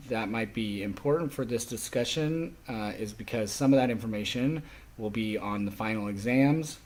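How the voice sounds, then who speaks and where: A man speaking as a college instructor, explaining course rules to a class in an instructional way with frequent short pauses. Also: on the low side, darkish tone. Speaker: college sign language instructor.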